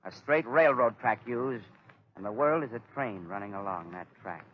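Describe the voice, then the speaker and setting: An actor playing a dying, mad inventor who is bitter and vengeful, in a theatrical, grandiose 1930s radio drama style. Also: male voice, fairly low pitch, expressive, moderate pace, lightly acted. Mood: intense, lecturing. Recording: dull vintage 1930s radio recording.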